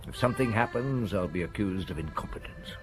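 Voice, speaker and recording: An actor playing a weary, brusque police chief inspector in a radio drama, gruff and curt. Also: male voice, fairly low pitch, lively intonation, brisk, over music, natural voice. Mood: worried, weary. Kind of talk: radio drama acting and narration.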